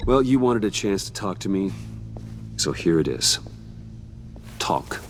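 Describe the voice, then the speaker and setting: An actor playing a composed, earnest billionaire vigilante in his thirties in a dramatic video game, speaking in a measured, serious way. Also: deep voice, sing-song, vocal fry, heavily acted. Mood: earnest, firm. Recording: music behind the voice.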